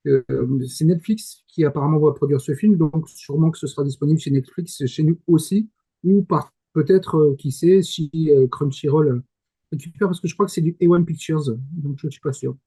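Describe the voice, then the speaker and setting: Male panelist, 40s. Slightly high, relaxed tone, tentative thinking-aloud delivery in casual chat. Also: moderate pace, slightly rough. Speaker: talk show panelist.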